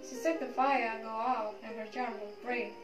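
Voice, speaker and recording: A woman's voice: fairly high pitch, fairly even intonation, normal speed, music in the background, natural voice. A young woman playing a dutiful, sympathetic sheriff's wife in an amateur student drama, in an echoey room. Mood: worried. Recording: echoey room, far from microphone.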